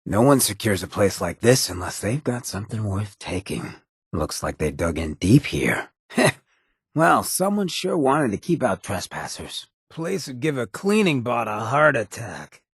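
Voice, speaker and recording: Swaggering ghoul rogue, very husky rasp, lively swinging pitch, American accent, sardonic slang, video game companion. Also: male voice, unhurried, no echo, studio quality, exaggerated voice. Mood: wry, curious. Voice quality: raspy, gravelly. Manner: streetwise, sardonic drawl with slang. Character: roguish, irreverent male ghoul mayor, a swaggering companion. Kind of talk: video game character dialogue.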